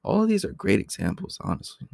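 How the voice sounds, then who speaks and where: A man hosting a casual solo talk, his voice raspy, often clearing his throat and speaking loosely. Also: high-pitched, huge pitch swings, quick pace, a little mellow, dry recording, studio quality. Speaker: podcast host.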